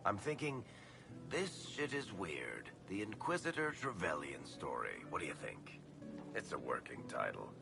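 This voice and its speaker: An actor playing a wry, laid-back male adventurer and storyteller in a video game, conversational and gravelly. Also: sits low, sing-song, measured pace, full character voice. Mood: wry, musing. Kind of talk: video game character dialogue. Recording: music under the voice.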